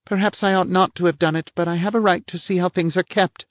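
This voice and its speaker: A man's voice: fairly even intonation, fast talker, no room sound, close to an everyday voice. A man putting on a prim, indignant society woman. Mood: defensive. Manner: shrill, huffy, affected gentility. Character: haughty prim middle-aged woman, indignant and theatrical. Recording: dull lo-fi, dry.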